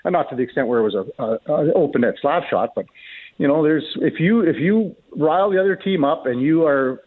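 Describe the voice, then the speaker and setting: A man's voice: fairly high pitch, animated, a little fast. A man giving folksy, conversational opinions as a guest commentator in casual sports radio talk, heard over a tinny, narrow line.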